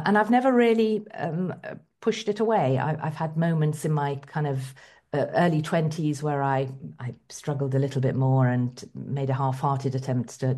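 Low, mellow-voiced woman reflecting calmly. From Iran. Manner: reflective, measured, with frequent hesitations. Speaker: guest, bishop. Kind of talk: conversational interview.

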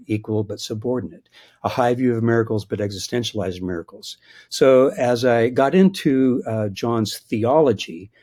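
Husky-voiced older man, thoughtful scholar. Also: medium pitch, warm tone. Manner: thoughtful, hesitant restarts, chuckles at his own points. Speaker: biblical scholar guest. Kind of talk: reflective interview answers.

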